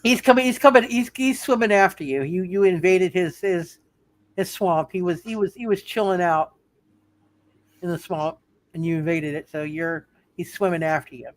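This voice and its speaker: A woman running an improvised tabletop roleplay as game master, halting and often repeating phrases as she narrates. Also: fairly low pitch.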